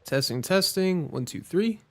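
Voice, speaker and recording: A man's voice: sits high, expressive, unhurried, darkish tone. A man doing casual gaming stream commentary, informal and prone to swearing, with game music behind him. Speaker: livestreamer.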